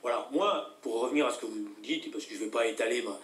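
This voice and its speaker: Middle-aged politician guest, lots of euh, sounds far off in an echoey studio. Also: male voice, medium pitch, fairly bright tone. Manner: deliberate, many hesitations and fillers. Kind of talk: talk show debate.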